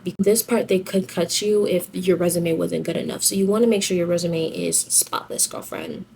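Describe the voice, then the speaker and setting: A woman's voice: mid-range voice, darkish tone, slight background noise. A young woman giving casual advice in a chatty, slangy, girlfriend-to-girlfriend way, like a vlogger. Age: young adult. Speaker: vlogger giving application tips.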